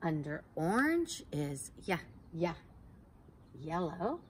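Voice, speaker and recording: A woman in her forties reading a picture book aloud to young children outdoors, slow and sing-song, sounding out letters and gasping for effect. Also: fairly low pitch, big pitch swings, slight background noise. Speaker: storytime reader for children. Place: backyard patio outdoors by a wooden fence.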